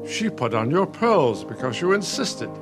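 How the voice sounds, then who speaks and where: An actor playing a patient, nostalgic older husband in a radio play, gentle and reflective. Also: male voice, a little high, big pitch swings, moderate pace, music in the background. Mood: wistful. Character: patient, nostalgic elderly husband, soothing and wistful.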